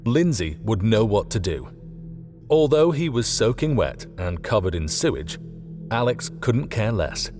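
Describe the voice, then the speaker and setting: A man's voice: on the low side, very animated, unhurried, darkish tone. A man reading scripted fiction as an audiobook narrator. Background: faint music.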